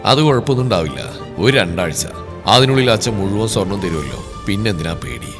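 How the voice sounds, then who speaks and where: A man voicing a scheming father who talks in a low, offhand, dismissive way. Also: expressive, brisk. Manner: casual, dismissive. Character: smooth-talking scheming father on the phone. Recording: long reverb, faint music behind.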